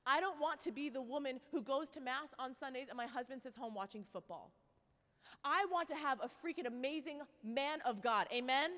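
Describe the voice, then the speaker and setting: A woman in her twenties gives a lively faith talk to a crowd and tells her own story with animated, playful asides. Her voice sits a touch high for a woman. A long hall reverb trails each phrase. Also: brisk. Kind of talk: faith talk to a live audience.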